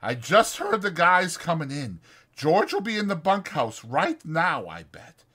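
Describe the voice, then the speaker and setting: A man's voice: fairly high pitch, big pitch swings, measured pace, bright, forceful tone, slightly rough, pitched above the performer's own voice, full character voice. A man voicing an anxious, kindly old ranch hand with folksy rural speech in a dramatized audiobook. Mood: reassuring. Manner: folksy rural American dialect.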